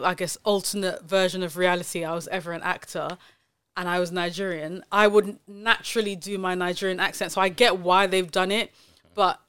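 A woman's voice: lowish voice, a bit bright, smooth, dry recording, clean studio sound. A woman hosting a casual podcast chat, talking loosely with lots of fillers and restarts. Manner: chatty, many fillers and self-corrections. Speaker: podcast host.

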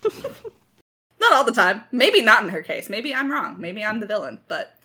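A woman's voice: fairly high pitch, wildly swooping pitch, measured pace, bright, forceful tone. A woman talking casually and reflectively as a writer in a Q&A, with some self-deprecating humour. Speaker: lead writer of an audio drama. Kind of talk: casual Q&A discussion.

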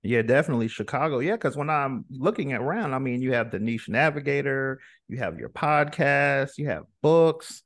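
A man's voice: medium pitch, animated, normal speed, few pauses, a bit bright, no room sound, studio quality. A man hosting a podcast interview in a casual, chatty, encouraging way. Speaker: podcast host.

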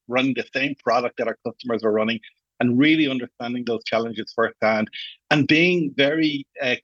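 A man's voice: mid-range voice, a bit bright, husky, dry recording, muffled recording. A middle-aged Irish man giving conversational answers as a guest in an interview, explaining his work at length. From Ireland. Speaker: podcast guest, customer support executive.

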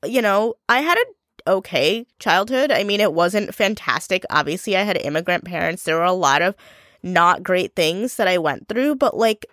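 A woman's voice: fairly low pitch, animated, normal speed, a bit bright, dry recording, studio quality. A young adult woman hosting her own podcast, talking alone in a blunt, ranting way with plenty of swearing, a bit stuffy from congestion. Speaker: podcast host. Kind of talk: solo podcast monologue.

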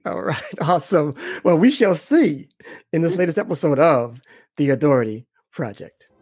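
A man's voice: a little high, animated, moderate pace, darkish tone, dry recording. Upbeat man hosting a podcast. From Boston. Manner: upbeat, enthusiastic, repeats "awesome". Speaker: podcast host. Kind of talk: interview hosting.

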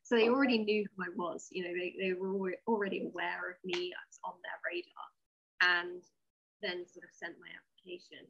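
A woman's voice: on the low side, flat delivery, normal speed, gravelly, fairly bright tone, highs cut off, a little hiss or hum. A woman talking candidly and with firm opinions as an interview guest, explaining things conversationally. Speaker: interview guest, sci-fi writer.

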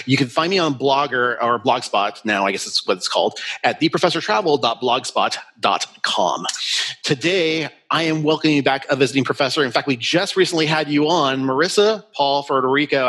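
A man's voice: mid-range voice, sharp tone, slightly rough. A man hosts a travel podcast in an upbeat presenter manner, introducing a guest and reading out where to find him. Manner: upbeat presenter, reads out plugs. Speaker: podcast host. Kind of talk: podcast hosting. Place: Orange County, California.

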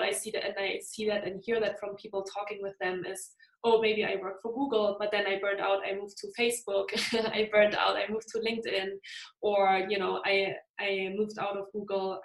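A woman hosts a relaxed interview and talks through her ideas at length, pausing on fillers as she goes. Her voice sits at a middle pitch. The room around her rings with a clear echo. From Germany. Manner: thoughtful, rambling with fillers. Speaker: podcast host. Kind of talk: casual interview chat.

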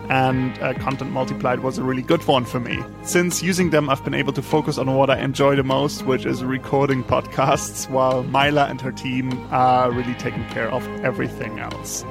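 An earnest man hosting a podcast, reading his lines in an upbeat, steady voice at a middling pitch. Clear music plays under him. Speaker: podcast host. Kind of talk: scripted ad read and interview intro.

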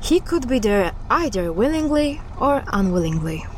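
A woman's voice: a little high, very animated, normal speed, a little hiss or hum. A woman playing a resolute, guarded occult investigator in an audio drama. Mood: resolute, grave.

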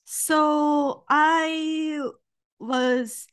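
A woman's voice: high voice, fairly even intonation, very slow, a bit bright. Polite Japanese woman with ums. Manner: frequent ums, thoughtful and polite. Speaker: guest. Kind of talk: interview.

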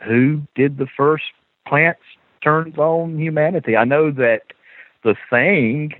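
A man's voice: fairly high pitch, slow pace, dry recording. A Southern man chats casually as a podcast guest. He tells his stories animatedly and chuckles often. His voice comes through a thin, phone-like line with no room sound. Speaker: guest author. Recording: tinny phone-line audio.

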